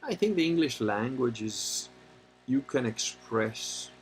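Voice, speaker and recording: A man hosting a livestream, talking casually and answering chat questions in a rambling, halting way with many pauses, and sometimes turning blunt and opinionated. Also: medium pitch, big pitch swings, a little hiss or hum. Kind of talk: casual unscripted monologue.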